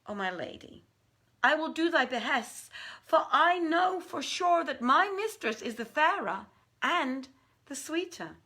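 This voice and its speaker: An obsequious male ifrit, performed by a woman storyteller, flattering and stubbornly boastful in florid archaic phrases. The storybook voice sits high, with sharp brightness and big swoops in pitch. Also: a little slow, full character voice. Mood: submissive, boastful.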